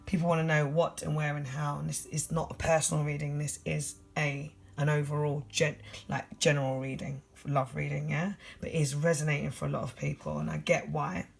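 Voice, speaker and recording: Husky London tarot reader, gravelly. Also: medium pitch, flat delivery. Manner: fast, blunt, straight-talking. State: tired. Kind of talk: casual general tarot reading.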